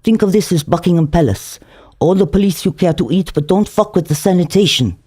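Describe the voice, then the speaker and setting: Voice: mid-range voice, animated, fairly quick, dark tone, slightly rough, voice pushed up. A narrator voicing an angry, suspicious café chef in a novel reading. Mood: angry, accusing.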